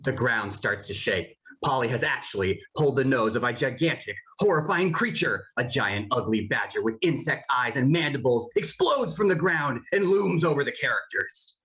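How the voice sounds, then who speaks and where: Lively narrator of cartoon stage directions. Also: medium pitch, moderate pace, hardly any pauses, rough recording. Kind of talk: scripted narration of stage directions at table read.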